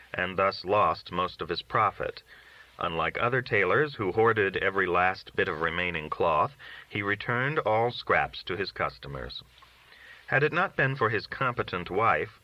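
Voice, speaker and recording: A man reading literary short stories aloud as scripted narration. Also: fairly low pitch. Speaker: audiobook-style reader of short stories. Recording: dull, lo-fi recording.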